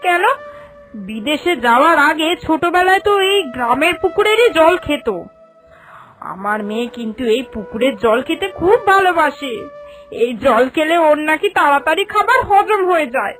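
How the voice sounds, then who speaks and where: A woman voicing an anxious, devoted middle-aged village mother in a cartoon, expressive and emotive. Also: high voice, normal speed, faint music behind. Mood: earnest. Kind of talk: animated cartoon dialogue.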